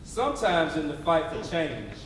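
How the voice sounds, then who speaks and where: A man's voice: on the high side, sing-song, moderate pace, background noise. Man orating in a very echoey hall. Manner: emphatic, declamatory, preacher-like call and response. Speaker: stage narrator. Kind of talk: dramatic readers theatre oration. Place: theatre stage before a responding audience. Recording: very echoey live hall, distant mic.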